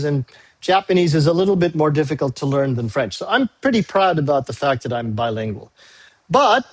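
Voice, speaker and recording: A male university English teacher, a native Tagalog speaker, recording a class briefing video; he talks patiently, repeats key dates and fills pauses with "uh". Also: on the high side, husky. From Philippines. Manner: frequent "uh" fillers.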